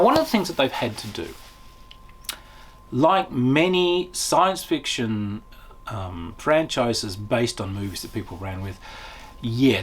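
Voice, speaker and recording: A man reviewing a tabletop game in a casual, unscripted way, animated and chatty as he explains. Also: mid-range voice, slight background noise.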